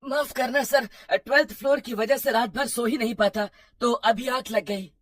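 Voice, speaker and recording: A woman voicing a sleepy, fearful male apartment guard, nervous and evasive, in an animated horror story. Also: fairly high pitch, normal speed, no echo, studio quality, close to an everyday voice. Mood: apologetic, drowsy. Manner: nervous, startled, stammering when alarmed.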